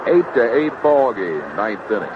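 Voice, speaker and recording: American baseball announcer, noisy old radio. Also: male voice, fairly low pitch, very animated, moderate pace. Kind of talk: live sports commentary. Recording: noisy, dull 1970s radio broadcast tape.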